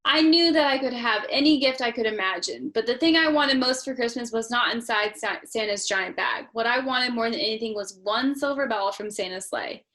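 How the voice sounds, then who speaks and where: A woman with a slightly high voice reads a picture book to young children. She is expressive and speaks to them directly, mixing narration with chatty little asides. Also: moderate pace, hardly any pauses. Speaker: storytime reader.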